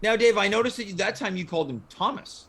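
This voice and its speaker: A man's voice: high-pitched, fast talker, a bit bright, slightly rough. A man hosting a casual panel chat show. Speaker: talk show host.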